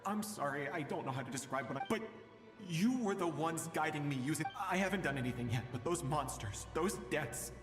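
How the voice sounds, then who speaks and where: A man's voice: fairly high pitch, lively intonation, fairly quick, close to an everyday voice. Actor as a searching father in cavernous reverb. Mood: weary, earnest. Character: anxious father searching for his lost daughter, weary and bewildered. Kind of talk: video game voice acting. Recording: echoey, long reverb, game music behind.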